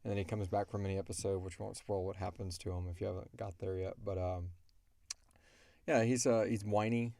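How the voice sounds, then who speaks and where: A man's voice: low-pitched, steady tone, fairly quick, gravelly, warm tone, dry recording. A man hosting a podcast in a casual, chatty style. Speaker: podcast host and voice actor. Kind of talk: casual chat. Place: recording booth.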